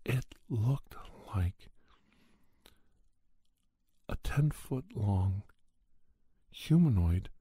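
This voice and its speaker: A man telling a spooky first-person story in a soft, breathy ASMR style, often near a whisper, in deliberate short phrases with pauses. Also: lowish voice, animated, no room sound. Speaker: podcast storyteller.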